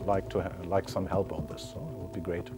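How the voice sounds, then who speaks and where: Deep-voiced man explaining with verbal tics. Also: fairly even intonation, a little fast, over music. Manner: hesitant, peppers speech with "you know, so". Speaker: quiz contestant, governance expert. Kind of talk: unscripted explanatory answering.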